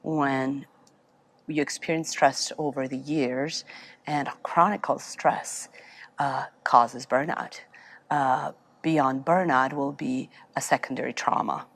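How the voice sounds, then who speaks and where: A woman from Serbia, a therapist answering interview questions, halting and searching for words. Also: a bass voice, fairly even intonation. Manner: speaks with a foreign accent, halting, searching for words. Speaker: therapist guest.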